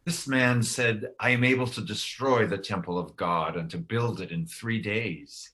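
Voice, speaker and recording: A reader voicing accusing witnesses and bystanders in a church scripture drama, speaking deliberately. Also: mid-range voice, a bit bright, lightly acted.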